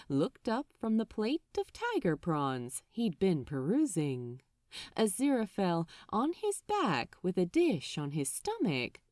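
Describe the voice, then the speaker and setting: Female audiobook-style narrator, slow and deliberate, hugely varied intonation, expressive scripted storytelling, dry close sound. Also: mid-range voice, no room sound.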